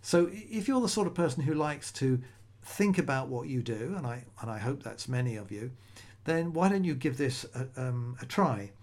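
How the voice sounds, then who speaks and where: A man's voice: mid-range voice, expressive, normal speed, a little mellow. A middle-aged man hosting a solo podcast, talking in a conversational, rambling way with frequent restarts. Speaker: podcast host.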